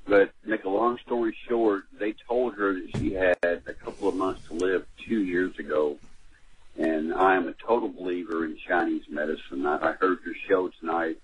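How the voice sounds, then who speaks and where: A man's voice: low-pitched, fairly even intonation, moderate pace, noisy background. A male caller to a radio show, speaking bluntly and ending with a teasing insult. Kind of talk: call-in phone chat.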